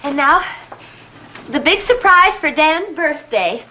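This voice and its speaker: An insistent party hostess in a 1960s TV drama. Her voice is high, bright and forceful. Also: expressive, slow pace, full character voice, rough recording. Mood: forced excitement. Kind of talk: 1960s television drama acting.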